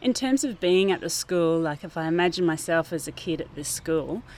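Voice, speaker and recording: Low-voiced New woman, earnest. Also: lively intonation, normal speed, a little mellow, a little hiss or hum, low-quality recording. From New Zealand. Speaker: school garden teacher. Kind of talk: informal interview, reflective explaining. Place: outdoors, under a gazebo in a school garden.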